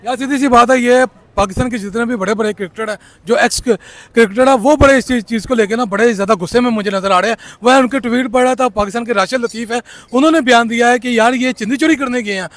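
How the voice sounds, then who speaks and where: Fast, heated young man on the street. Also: very high voice, fairly bright tone, no echo. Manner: heated, rapid ranting. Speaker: interviewee. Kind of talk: street vox pop. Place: city street at night, outdoors. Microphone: handheld mic.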